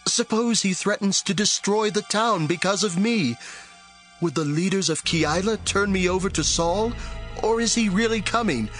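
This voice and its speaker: Man acting an earnest, high-voiced devout leader. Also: normal speed, fairly bright tone, faint music behind. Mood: prayerful. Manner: earnest, imploring. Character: earnest young rebel leader, devout and protective. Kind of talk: dramatized scripture audio drama.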